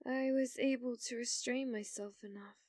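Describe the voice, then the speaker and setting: A woman's voice: fairly high pitch, steady tone, normal speed, a little mellow, dry recording, studio quality, natural voice. A woman playing a delusional, obsessive young woman who believes she is a reincarnated demon lord, speaking in grand, archaic phrases in an ASMR roleplay. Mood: sorrowful. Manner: grandiose, archaic, theatrical phrasing with flustered stammers.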